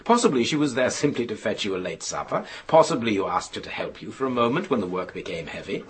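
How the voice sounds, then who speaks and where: Radio drama role: grave, formal inquiry chairman, deliberate wording with widely varied intonation, probing and regretful. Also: on the low side, sing-song, slightly rough, exaggerated voice. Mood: stern, persuasive. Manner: formal, deliberate, official phrasing.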